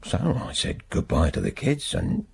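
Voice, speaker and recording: An actor playing a haunted, middle-aged working-class man with an English accent and a husky, halting delivery in a naturalistic radio drama. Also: deep voice, wildly swooping pitch, full character voice. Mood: anguished, helpless. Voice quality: husky, gravelly. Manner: halting, plain-spoken, working-class idiom.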